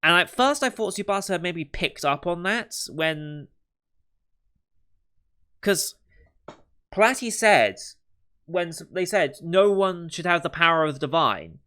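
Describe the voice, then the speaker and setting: A man hosting an anime reaction, thinking aloud in casual commentary and musing over what the characters will do next, with the odd soft laugh. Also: on the high side, animated, measured pace, pauses often. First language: not Japanese.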